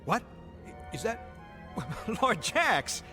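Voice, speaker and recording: An actor playing a corrupt, irritable military colonel in an audio drama, exasperated and blustering. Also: male voice, sits high, very animated, drawn-out words, heavily acted. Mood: nervous fawning. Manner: exasperated, bullying, fawning to superiors. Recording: long hall-like reverb, music behind.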